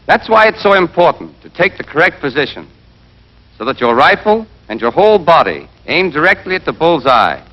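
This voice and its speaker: A man playing a brisk, exacting American army rifle instructor in a scripted 1940s training film, drilling and quizzing with emphasis. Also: fairly high pitch, big pitch swings, pushed and bright, exaggerated voice. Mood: firm, emphatic. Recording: dull band-limited 1940s film soundtrack.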